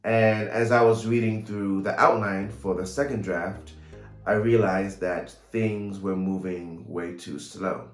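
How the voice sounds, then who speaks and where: A man in his thirties talking casually to camera in a vlog, measured, pausing between phrases. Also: on the low side, fairly even intonation. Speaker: writer and vlogger. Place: small home room, indoors. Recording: roomy echo, light music behind.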